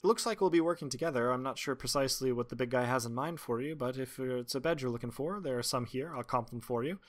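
A man's voice: mid-range voice, fast talker, natural voice. A man voicing a matter-of-fact, helpful local with a casual, folksy manner in a fantasy game. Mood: dry, practical.

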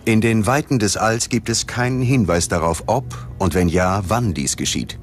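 A man narrates a documentary in a low-pitched voice. His scripted delivery is dramatic and ominous, and prominent music plays behind him. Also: moderate pace.

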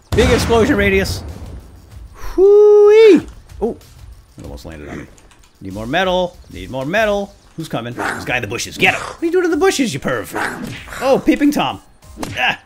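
A man hosting a let's play, commentating playfully and exclaiming often as he talks to himself and to viewers. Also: sits high. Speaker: gaming video host.

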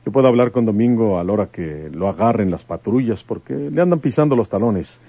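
A man's voice: lowish voice, expressive, a little fast, dark tone, no echo. An actor with a Mexican accent playing a stern, probing rural detective and father in a radio drama, with rustic, insistent speech. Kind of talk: old-time radio drama acting.

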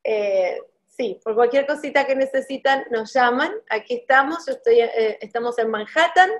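A woman's voice: fairly high pitch, normal speed. A woman in her thirties hosting a promotional video call, bubbly and upbeat. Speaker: wedding planner host. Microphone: video call webcam.

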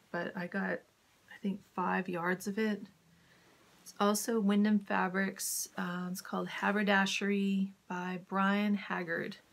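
A woman with a husky, gravelly voice that is worn and crackly from a cold. She talks slowly and evenly, with little rise and fall, and keeps slipping into soft whispers. It has the feel of a casual chat recorded in a home sewing studio. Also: mid-range voice. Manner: soft-spoken, drifts into whispers when admiring fabric. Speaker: sewing vlogger. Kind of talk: casual fabric haul chat.

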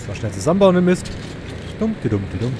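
A man's voice: medium pitch, very animated, fast talker. A man giving casual, sarcastic gameplay commentary, grumbling and talking half to himself. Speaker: let's play host. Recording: clear game noise behind the voice.

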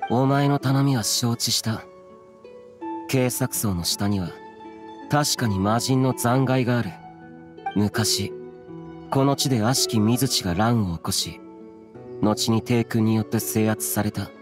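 Immortal warrior for a game , male actor: medium pitch, solemn, distant, terse, old-fashioned diction. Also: measured pace, long pauses, natural voice. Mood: grave. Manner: archaic, grave, clipped pronouncements. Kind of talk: video game voice acting. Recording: background music under the voice.

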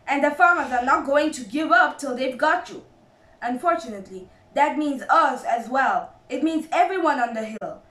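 Woman as excitable, desperate Badger in echoey sound. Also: fairly high pitch, a little slow, few pauses, distant mic, lightly acted. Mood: furious. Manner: exclamatory, emphatic, old-fashioned chummy phrasing. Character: Badger, excitable, desperate grown male badger father.

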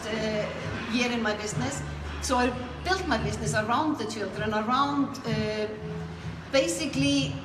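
A middle-aged woman from Iceland with an Icelandic accent, telling their own story conversationally as an interview guest. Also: on the high side. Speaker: network marketer being interviewed. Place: small indoor room. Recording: echoey room, clear background noise.

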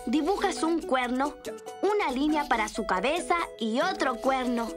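An actor voicing a cheerful young boy rabbit in a children's cartoon, explaining things simply and clearly. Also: high-pitched, lively intonation, measured pace. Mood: patient, instructive. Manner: clear, simple, instructive delivery for small children. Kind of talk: children's cartoon dub, drawing instruction. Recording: loud music under the voice. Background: children's cartoon music.

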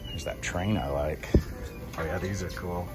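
Man, low-ish voice, upbeat handheld vlog, chuckling. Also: wildly swooping pitch, measured pace. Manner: upbeat, chuckling between remarks. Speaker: vlogger filming a store tour. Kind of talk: casual chat while walking. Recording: music playing loud in the store.

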